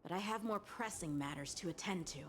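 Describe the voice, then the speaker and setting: Woman acting earnest boy soldier, dutiful and polite, slightly low register, game dialogue, faint background noise. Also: brisk, natural voice.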